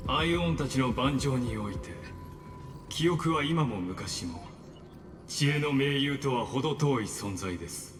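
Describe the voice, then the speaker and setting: An actor playing a courteous, coolly scheming villain in a Japanese video game, formal and insinuating. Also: male voice, medium pitch, natural voice. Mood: knowing, measured. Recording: long reverb under loud game music.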